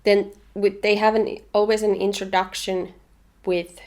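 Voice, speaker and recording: A young woman from Finland, with Finnish as her first language, talks through her reading in an easy, chatty vlog manner. She comes across as eager and opinionated, with a voice of ordinary middle pitch for a woman. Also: flat delivery, measured pace, a little mellow. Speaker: book vlogger. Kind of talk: casual book review chat.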